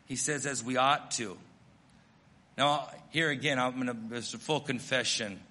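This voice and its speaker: A middle-aged American missionary pastor preaching a sermon, telling stories in an earnest, self-deprecating way. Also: male voice, mid-range voice, normal speed, bright, forceful tone, long reverb tail. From United States.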